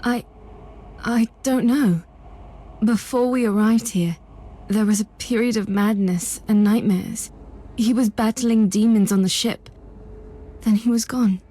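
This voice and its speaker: Captive young psychic in a sci-fi game: guarded, brooding, dark husky tone, fairly high pitch. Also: female voice, a little slow, pauses often. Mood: haunted. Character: wary, troubled young female psychic outcast held captive. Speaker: game character. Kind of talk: dramatic video game cutscene acting.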